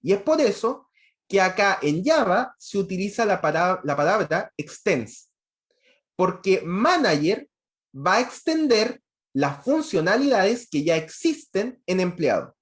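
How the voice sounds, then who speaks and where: A man's voice: high-pitched, sing-song, measured pace. A man giving an online university lecture on programming, explaining step by step and checking in with frequent rhetorical questions.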